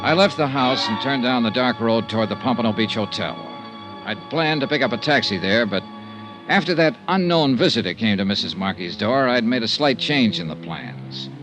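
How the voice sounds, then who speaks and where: An actor playing a cynical, world-weary insurance investigator with an American accent in an old-time radio detective drama. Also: male voice, mid-range voice, lively intonation, moderate pace, lightly acted. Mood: weary, brooding. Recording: vintage radio recording, faint music.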